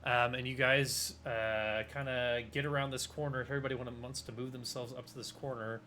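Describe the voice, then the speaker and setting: A man's voice: mid-range voice, flat delivery, brisk, pushed and bright, slight background noise. A young man running a tabletop game as game master, rambling with plenty of fillers and restarts. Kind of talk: casual tabletop game narration.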